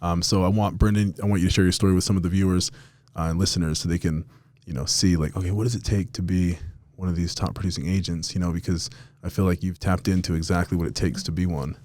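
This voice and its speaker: Guy hosting a chill podcast, deep mellow voice, dry close sound, keeps saying bro. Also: fairly even intonation, quick pace, dry recording. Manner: friendly, hype, says bro and dude. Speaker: podcast host. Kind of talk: casual interview chat.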